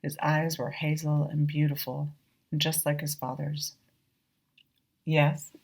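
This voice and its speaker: A woman reading her own short story as measured, reflective literary narration, lightly acting out the dialogue. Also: low voice, little pitch movement, a little mellow, breathy edge, low-quality recording.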